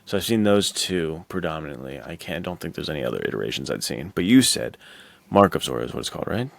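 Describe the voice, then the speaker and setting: A man's voice: rumbling low, big pitch swings, fast, vocal fry, no echo. An American man co-hosting a podcast, chatting about films in an informal, slangy, enthusiastic way. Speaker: podcast co-host. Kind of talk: casual film chat.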